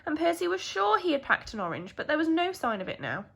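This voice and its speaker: A woman's voice: sits high, sing-song, fast talker, gravelly, fairly bright tone. A woman with a British accent, a primary school teacher telling a story expressively to young children.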